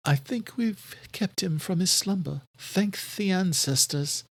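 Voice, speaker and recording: A capable, caring woman healer from a vampire audiobook, put on by a male narrator. The voice sits high and soft with an urgent edge, recorded very dry. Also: measured pace, no echo. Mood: relieved, gentle.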